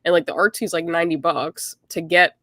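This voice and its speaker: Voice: medium pitch, fairly even intonation, brisk, sharp tone, dry recording, clean studio sound. Casual co-host, filler-heavy speech. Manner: lots of "like", hedging. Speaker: podcast co-host. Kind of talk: casual podcast chat.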